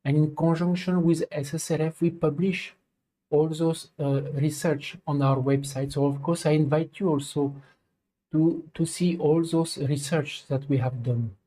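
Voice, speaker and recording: A middle-aged man from France with a French accent, hosting a live stream in halting, filler-filled English. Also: on the high side, fairly even intonation, warm tone, poor audio. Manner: halting, many fillers, non-native English phrasing. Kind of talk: casual spoken introduction.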